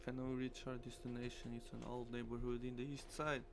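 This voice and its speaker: Gravelly man reading aloud. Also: medium pitch, little pitch movement, fairly quick. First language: Portuguese. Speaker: streamer. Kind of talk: reading a visual novel aloud. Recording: clearly noisy stream with hum.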